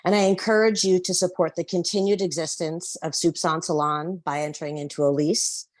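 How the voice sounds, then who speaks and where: A woman with a loud voice calling in to make an earnest public comment at a council meeting. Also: on the low side, steady tone, no echo. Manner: earnest, measured advocacy. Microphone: phone or call-in line.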